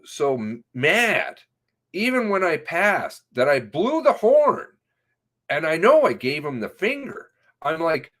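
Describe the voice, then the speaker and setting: A man reading an interview transcript aloud in a halting way, sometimes breaking off with asides of his own. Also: fairly high pitch, fairly bright tone. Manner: halting, often breaking off to comment on the text.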